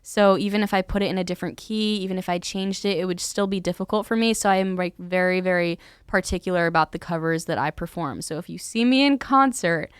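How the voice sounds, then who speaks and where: A woman's voice: on the low side, fast talker, hardly any pauses, clean tone, no echo, studio quality. A teenage girl from Philadelphia, a singer-songwriter, chatting casually as a guest and saying "like" a lot. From Philadelphia, USA. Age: teens. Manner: chatty, lots of "like", laughs while talking. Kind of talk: casual interview chat.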